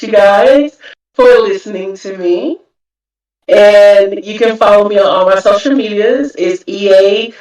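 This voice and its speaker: A woman, an indie author, talking casually and confidently on a voice call. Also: medium pitch. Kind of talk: casual talk, closing remarks. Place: home, on a voice chat. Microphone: computer mic on a voice call. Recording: very echoey, distant voice-chat audio.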